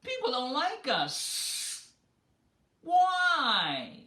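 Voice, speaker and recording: A man voicing hurt, wistful snakes who long for friendship, in a plaintive, drawn-out storybook whine. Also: very high voice, wildly swooping pitch, pushed and bright, reverberant, voice pushed up, heavily acted. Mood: plaintive wail.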